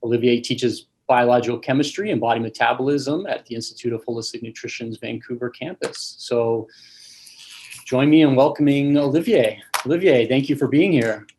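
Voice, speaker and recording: A young adult man hosting a webinar over a video call, reading a formal speaker introduction and giving relaxed housekeeping notes. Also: medium pitch, normal speed, a little hiss or hum, low-quality recording. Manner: reads a formal introduction, then casual housekeeping with fillers. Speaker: webinar host, campus manager.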